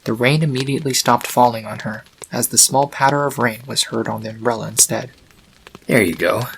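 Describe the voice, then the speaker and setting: A man's voice: medium pitch, steady tone, a little fast, gravelly, a bit bright, a little hiss or hum, poor audio. A man reading scripted storybook narration for a fan fiction.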